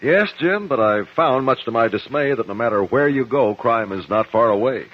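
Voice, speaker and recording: An actor playing a calm, worldly crime investigator in a 1950s radio drama, speaking in a measured, grave way. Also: male voice, mid-range voice, close to an everyday voice. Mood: grave, foreboding. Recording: dull, muffled 1950s radio recording.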